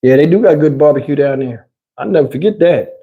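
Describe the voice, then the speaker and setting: High voiced guy on a livestream, slangy rambling chat w viewers, swears a lot. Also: fast, narrow, tinny audio. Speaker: livestream host.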